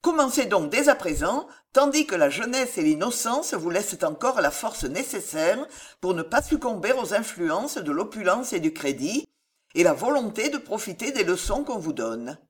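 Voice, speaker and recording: A woman's voice: medium pitch, very animated, normal speed, natural voice. Female reader as eccentric male moralist, solemn, declamatory, exhorting, widely swinging intonation, storybook. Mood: grave exhortation. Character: solemn, impassioned moralizing eccentric man begging for the poor. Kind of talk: audiobook character voice.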